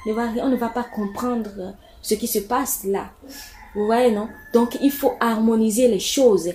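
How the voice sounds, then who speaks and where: A woman's voice: a little high, fairly even intonation, measured pace, a little mellow, a little hiss or hum. A woman giving casual, repetitive home decorating advice to her viewers, with many fillers and restarts. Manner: chatty, repeats words, many fillers.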